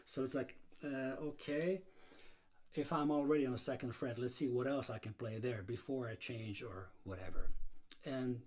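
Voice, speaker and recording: A man's voice: medium pitch, moderate pace, a little mellow. A middle-aged man from Serbia, hosting a blues guitar livestream in a casual, rambling way with many fillers and restarts.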